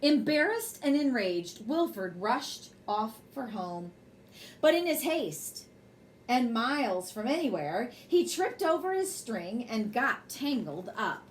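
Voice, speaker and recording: A woman reading a children's picture book aloud as a bedtime story, in an expressive, measured way. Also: a little high, a little hiss or hum.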